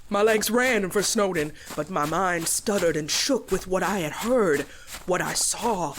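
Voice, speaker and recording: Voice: mid-range voice, measured pace, slight background noise, lightly acted. An actor voicing a frightened clawed monster, stammering and theatrical as it recounts a horror tale. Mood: haunted storytelling. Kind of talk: dramatic comic-dub storytelling and character acting.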